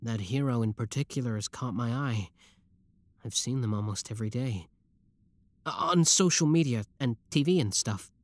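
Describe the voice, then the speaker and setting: A man's voice: mid-range voice, normal speed, no echo, natural voice. A man playing an obsessive, lovestruck young schoolboy villain in a roleplay audio drama, talking intimately to the listener. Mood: shy, hesitant. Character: shy schoolboy turned smug, obsessive yandere young villain.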